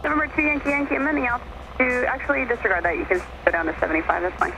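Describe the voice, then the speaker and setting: A woman working as an air traffic controller, giving brisk procedural instructions over aviation radio. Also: a little high, lively intonation, music in the background. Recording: noisy aviation radio heard in cockpit.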